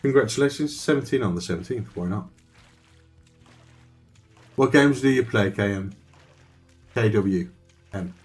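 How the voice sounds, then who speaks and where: A man's voice: fairly low pitch, unhurried, long pauses, faint music behind. A man thinking aloud and chatting with viewers while playing a survival game on a live stream. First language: Dutch. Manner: rambling, thinks aloud, talks to chat.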